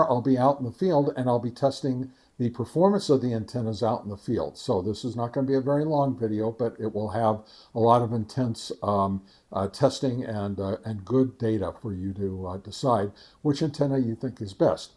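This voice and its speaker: A man hosting an informal product test, explaining in a halting way with frequent ums. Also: medium pitch, dark tone. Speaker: ham radio video reviewer, host.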